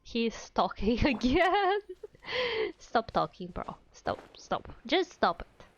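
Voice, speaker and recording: A woman's voice: a little high, sing-song, takes it slowly, highs cut off, a little hiss or hum. A woman streaming a game live, chatting casually and playfully to viewers. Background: faint game music.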